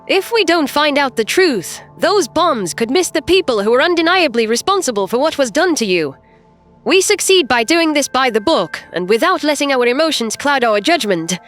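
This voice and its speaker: A woman playing a composed human starship captain in a sci-fi audio drama, earnest, measured and persuasive. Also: high-pitched, sing-song, a bit bright, soft background music. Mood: reasoned, firm. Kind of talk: audio drama character acting.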